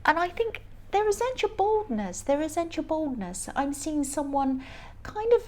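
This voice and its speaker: Croaky-voiced chatty woman tarot reader. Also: sits high, sing-song, normal speed. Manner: chatty, rambling, laughs often. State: croaky voice from stress. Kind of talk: casual tarot reading to camera.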